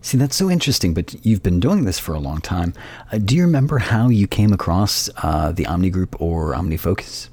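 A man's voice: deep voice, lively intonation, a little fast, darkish tone, slightly rough. A man hosting a podcast interview in a conversational, appreciative way, feeling for his words as he goes.

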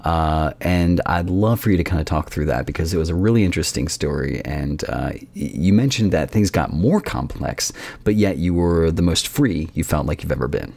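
A man with a low voice hosts an interview show. He speaks conversationally, with an enthusiastic, affirming manner. The sound is dry, with very little room in it. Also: expressive, moderate pace, vocal fry, few pauses, a little mellow, no echo. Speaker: podcast host.

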